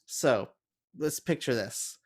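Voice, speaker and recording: An enthusiastic male podcast panelist in casual conversation. His voice sits at an average pitch as he pitches his opinions on films and games. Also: lively intonation, slow pace, husky.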